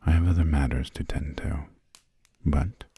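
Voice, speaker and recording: A man playing an imperious, aristocratic vampire lord in a whispered ASMR roleplay, with formal, haughty, old-fashioned phrasing and a breathy murmur. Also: steady tone, normal speed, mellow, dry recording, full character voice. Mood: cool, measured. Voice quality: breathy, gravelly low murmur. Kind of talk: whispered ASMR roleplay, slow scripted monologue.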